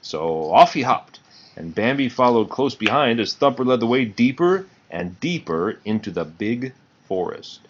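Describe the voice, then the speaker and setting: A man's voice: medium pitch, sing-song, unhurried, highs cut off, a little hiss or hum. A man narrating a children's storybook in a read-aloud style. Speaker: storybook reader.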